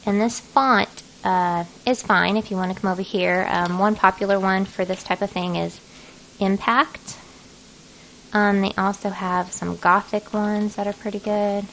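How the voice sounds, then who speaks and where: A woman narrating an informal software tutorial, talking casually and thinking aloud as she works. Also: mid-range voice, fairly even intonation, unhurried, slight background noise, low-quality recording. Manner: casual, folksy, thinking aloud while working. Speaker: craft design software tutorial host.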